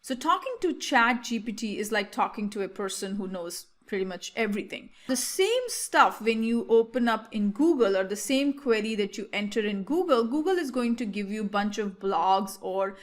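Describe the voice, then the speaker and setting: A woman whose first language is Hindi, walking viewers step by step through a software tutorial. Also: medium pitch, lively intonation, moderate pace, few pauses. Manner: explanatory, with frequent fillers and restarts. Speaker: tutorial host. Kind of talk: screen-share software tutorial.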